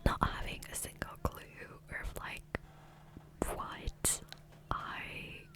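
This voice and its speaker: Slow, whispering ASMR woman. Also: rough recording. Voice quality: soft breathy unvoiced whisper. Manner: rambling, gentle, unhurried. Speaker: ASMR gaming content creator and host. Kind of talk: whispered ASMR ramble, casual chat. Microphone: close USB condenser microphone.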